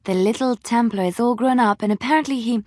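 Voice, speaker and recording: A woman voicing a romantic, teasing young adventuress in a video game, with soft, expressive, earnest phrasing. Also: on the high side, moderate pace, dry recording, studio quality. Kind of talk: video game character dialogue.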